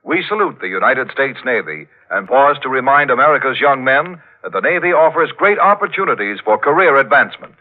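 A narrow, vintage 1940s radio broadcast. A male announcer with a mid-range voice and very animated intonation delivers sponsor copy in a polished, emphatic selling style. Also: mid-range voice, a little fast, hardly any pauses.

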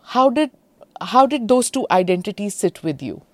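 A woman's voice: mid-range voice, animated, moderate pace, no echo. A woman hosting an interview, asking guided questions and keeping her guest going with short murmurs of agreement. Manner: prompting, frequent backchannel "hmm". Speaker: podcast host.

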